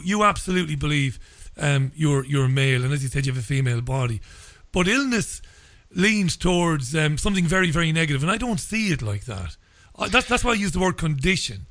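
Irish male interviewer, slightly high voice, halting and casual. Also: fairly bright tone. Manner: hesitant, many ums.

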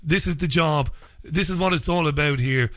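A man's voice: on the high side, normal speed, fairly bright tone, no echo, clean studio sound. A man hosting a radio interview, asking pointed questions with an emphatic, accusatory edge. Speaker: radio host.

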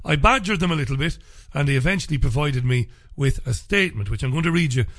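A man hosting a talk radio show, speaking casually with a slightly croaky, dry voice and frequent fillers and restarts. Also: on the high side, animated, fast, a bit bright, dry recording.

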